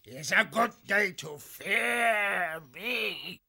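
A man voicing a fierce, mad warrior bird in an audiobook, boastful and menacing, with a raspy, strained voice. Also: sits high, lively intonation, sharp tone, pitched above the performer's own voice, full character voice. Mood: wild, gleeful. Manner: menacing, drawn-out, boastful war cries. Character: fierce, mad-eyed male shrike, a warlike butcher bird. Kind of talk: audiobook character voice.

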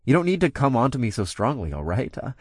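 A man's voice: medium pitch, sing-song, quick pace, a little mellow, breathy edge, dry recording, studio quality, pitched above the performer's own voice. Earnest, protective werepanther hero acted by a man. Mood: concerned, reassuring. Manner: earnest, reassuring, firm. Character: protective, earnest male werepanther, firm but caring. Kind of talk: acted audiobook dialogue.

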